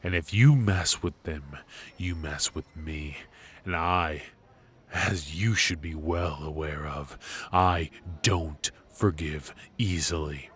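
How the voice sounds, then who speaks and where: A man acting as a deep-voiced, ruthless mafia boss. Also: a bit bright, full character voice. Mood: menacing, restrained. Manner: deliberate, smooth-talking, pausing between short phrases. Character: suave, ruthless male mafia boss, menacing and teasing. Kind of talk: intimate noir audio roleplay acting.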